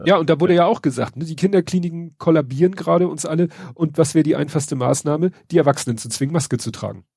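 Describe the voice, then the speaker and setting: Mann, verschnupft, rauchig-heiser, trockener Raumklang, locker und spöttisch plaudernd. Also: male voice, a little high, fast talker, creaky, a little mellow, husky, no echo, studio quality. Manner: wry, sarcastic, hesitant with many fillers. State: stuffy nose from a cold. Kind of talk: casual news chat.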